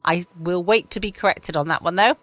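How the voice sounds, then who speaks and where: A woman hosts a late-night podcast in a casual, rambling way. Her voice is on the low side, recorded dry and close in a quiet room at home. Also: a little fast, no echo. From United Kingdom. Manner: rambling, musing to herself. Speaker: podcast host. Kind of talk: casual bedtime chat.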